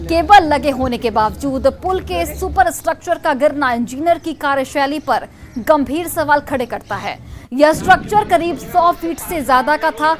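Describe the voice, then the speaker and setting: A woman's voice: high voice, expressive, moderate pace. A woman news anchor delivering breaking news in an emphatic, urgent way, repeating key phrases. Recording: music bed under the voice. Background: news music.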